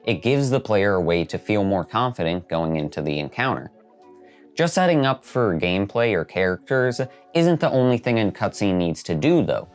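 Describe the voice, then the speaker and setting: A man with a fairly low voice hosts a video essay. He reads scripted narration over background music. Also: very animated, moderate pace.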